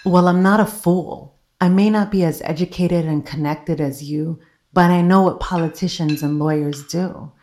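A woman's voice: lowish voice, fairly even intonation, normal speed, a little mellow, close to an everyday voice. A woman playing an insistent, scheming girlfriend who presses for secrets in an audio drama. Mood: challenging, insistent.

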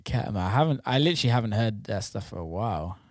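A man's voice: fairly low pitch, expressive, fast talker, a little mellow, no room sound. Man chatting casually, very dry sound. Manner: informal, rambling, occasional swearing. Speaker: podcast host and music producer who runs a record label. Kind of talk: casual podcast chat about the music scene.

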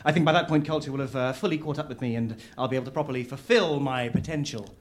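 A man's voice: mid-range voice, quick pace, slight background noise, lightly acted. A man acting in a live audio comedy as a self-important, misunderstood writer, earnest and petulant, with a hesitant delivery. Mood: earnest, impatient. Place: live on stage at a festival venue.

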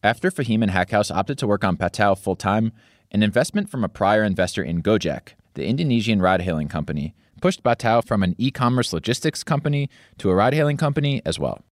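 A man reading scripted narration as a podcast host, in a measured delivery. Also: lowish voice, expressive, dry recording, studio quality.

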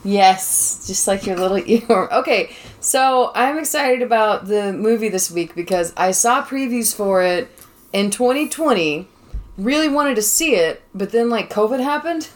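A woman's voice: medium pitch, expressive, a little slow. A woman in her thirties hosting a podcast in a chatty, casual way, laughing often. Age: 30s. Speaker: podcast host and lecturer. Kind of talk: casual chat.

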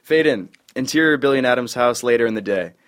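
A man's voice: medium pitch, quick pace. A man reading out stage directions as a narrator at a comedy script table read.

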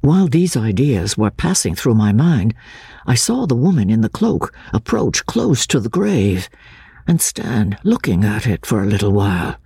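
Husky, expressive male audiobook narrator. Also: fairly low pitch, normal speed, no echo. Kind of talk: dramatic scripted narration of classic literature.

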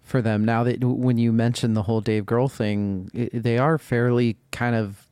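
A man's voice: mid-range voice, fairly even intonation, moderate pace, a little mellow, clean tone, dry recording, clean studio sound. A man hosting a podcast in a casual, chatty style. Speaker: podcast host.

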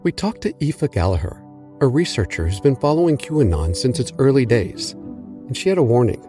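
A man hosting a news podcast, narrating a script in a measured, reflective way and putting questions to a guest. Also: mid-range voice, big pitch swings. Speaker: podcast host. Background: music bed.

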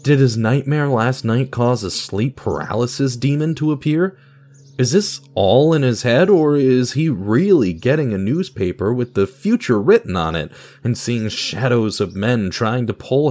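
A man's voice: medium pitch, lively intonation, a little slow, darkish tone. A man reading scripted material as a radio theatre narrator and announcer, with guitar music behind him. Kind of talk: scripted dramatic story narration.

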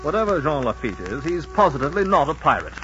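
An actor playing a skeptical gentleman who brushes gossip aside, in a 1940s radio drama. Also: on the high side, sing-song, fast talker. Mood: dismissive. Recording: dull old radio recording, music behind.

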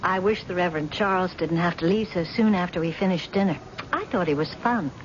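A woman's voice: fairly low pitch, fairly quick, natural voice. An actress playing a weary, long-suffering wife who needles her husband in a radio drama, dry and reproachful in manner. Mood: dryly needling. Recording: muffled old radio broadcast, faint noise.

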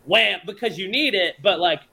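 A man's voice: high-pitched, expressive, normal speed, gravelly, sharp tone, slightly rough. A man who is a songwriter and performer, chatting casually as a podcast guest, laid-back, swearing freely and laughing often.

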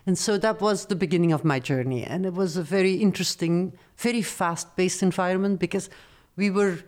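Woman, middle-aged, lowish voice, measured storytelling. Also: a little mellow. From Belgium. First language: not English. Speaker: guest, former studio executive. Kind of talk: interview conversation.

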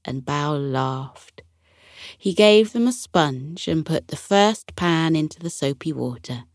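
A woman narrating a children's bedtime story in a gentle, expressive storytelling manner. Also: low-pitched, slow pace, no room sound, studio quality.

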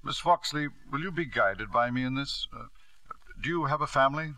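An actor playing a domineering, middle-aged British lord in a 1950s radio drama, polished and insistent. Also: male voice, medium pitch, animated, normal speed, sharp tone, dry recording. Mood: smooth, businesslike.

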